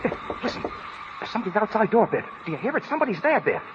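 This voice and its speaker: A noisy, muffled vintage radio play. an anxious friend, high-pitched and swooping wildly. He rattles out urgent pleas in an agitated rush. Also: sing-song, rapid-fire. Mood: alarmed. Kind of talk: 1930s radio drama acting.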